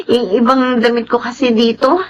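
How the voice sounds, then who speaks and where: An actress playing a gentle, easily swayed young lady of a wealthy house in a radio drama, with a Filipino accent. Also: on the high side, flat delivery, measured pace, a little hiss or hum, natural voice. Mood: gentle, kindly.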